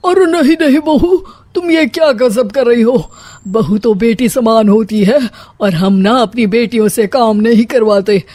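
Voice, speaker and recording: Guy doing a scheming scaredy middle-aged sasur from a cartoon story, flustered exclaiming, slow delivery. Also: very high-pitched, darkish tone, no room sound. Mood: anxious coaxing. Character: cowardly, scheming middle-aged father-in-law, comically flustered.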